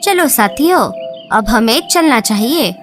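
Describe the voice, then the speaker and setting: A woman voicing cartoon birds, speaking in a slow, sing-song storybook way. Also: a little high, animated, faint music behind. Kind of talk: cartoon dialogue acting.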